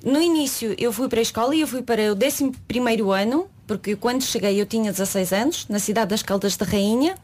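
A woman's voice: on the high side, quick pace, hardly any pauses. A talkative woman in her thirties from Ukraine, with a Ukrainian accent, chatting as a guest in a radio studio. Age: 30s. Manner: lively, talkative, laughs often. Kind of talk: radio interview chat.

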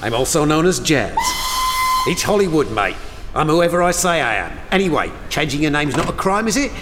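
A man's voice: a little high, very animated, brisk. An actor playing a shifty, cheeky ex-chauffeur and con man with a Cockney English accent in a radio interview. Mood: defensive, cocky. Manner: evasive, cheeky, chatty. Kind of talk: 1920s radio news interview, acted. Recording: echoey station hall with clear noise.

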